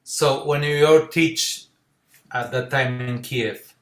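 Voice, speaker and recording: Bright, echoey interviewer voice. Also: medium pitch, fairly even intonation, slow. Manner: asks questions in short, broken phrases. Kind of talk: conversational interview.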